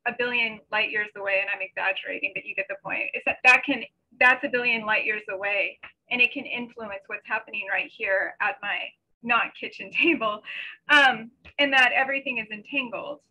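A middle-aged woman presents her poetry in a high, bright and forceful voice. Her pace is on the slow side, and the video-call recording is a little lo-fi. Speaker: poet reading own work. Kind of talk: poetry reading with informal introductions. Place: small bedroom at home. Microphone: computer mic on a video call. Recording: slightly dull video call audio.

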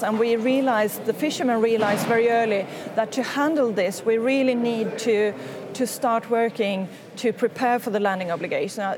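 A woman, giving an interview answer. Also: fairly high pitch. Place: large indoor fish auction hall. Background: music.

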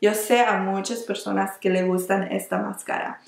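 A woman's voice: medium pitch, steady tone, moderate pace, creaky. A young woman reviewing makeup in a casual, chatty way, often restarting words and slipping into English terms. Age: young adult. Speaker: beauty vlogger. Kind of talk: casual product review while applying makeup. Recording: echoey small room.

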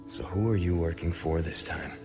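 An actor playing a gruff, determined young male agent in a video game, terse, guarded and dry. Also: sits low, fairly even intonation, normal speed, in a large hall, music in the background, natural voice. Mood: dry, defiant. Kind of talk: cinematic video game acting.

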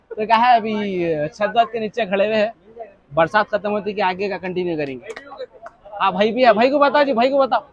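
A man with a Hyderabadi accent talks into a handheld mic at a crowded expo. His voice sits high for a man, and he jokes and teases in a loose, chatty way. He laughs often. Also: lo-fi sound. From Hyderabad, India. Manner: jokey, teasing, quick to laugh. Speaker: vlogger host. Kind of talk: casual vlog chat. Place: crowded indoor expo hall and outdoor food stall.

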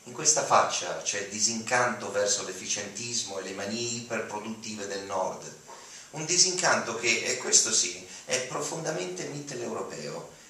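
Forceful male reader in an echoey hall. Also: medium pitch, gravelly. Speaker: actor giving a dramatic reading. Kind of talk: expressive literary reading from text. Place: auditorium podium, recorded from the audience. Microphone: podium mic, picked up by far camera. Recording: echoey hall, distant mic, background noise.

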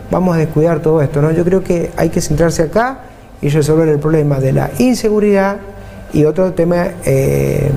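A man's voice: fairly high pitch, mellow, a little hiss or hum. A man in his forties with an Argentine Spanish accent, answering a TV interview as a mayor running for office, earnest and repeating points for emphasis. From San Juan, Argentina. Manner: earnest, repetitive for emphasis, speaks with his hands. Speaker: mayor and vice-governor candidate. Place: TV studio, seated at a table.

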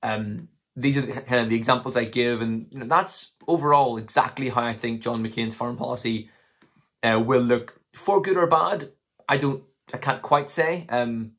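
A man in his twenties with a slightly hoarse voice, talking casually and tentatively to camera as he thinks through his commentary. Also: medium pitch, normal speed, a bit bright. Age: 20s. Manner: tentative, hedging, thinking aloud. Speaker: political commentary vlogger. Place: small room at home, indoors.

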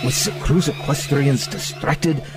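A man's voice: mid-range voice, measured pace, lightly acted. A man reading scripted narration for a radio comedy. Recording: music behind.

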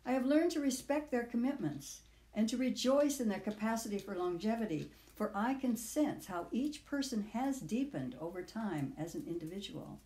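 An older woman in her sixties or beyond reads her own reflective personal essay aloud in a measured, literary way, with deliberate phrasing. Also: a little high, animated, mellow, reverberant. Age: 60s or older. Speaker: author reading own audio essay.

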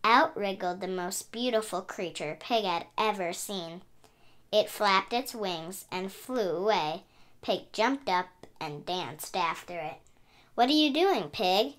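A woman's voice: medium pitch, takes it slowly, a bit bright. A woman hosting a children's read-aloud, narrating expressively in a sing-song, emphatic way. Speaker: story reader and channel host.

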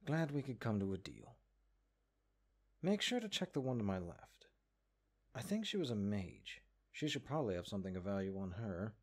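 A man's voice: on the low side, very animated, moderate pace, a little mellow, breathy edge, full character voice. A man voicing a haughty, exasperated magic book in a comedic audio roleplay, condescending and sarcastic. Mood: scheming, coaxing. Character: exasperated, haughty talking cursed grimoire, a sentient magic book.

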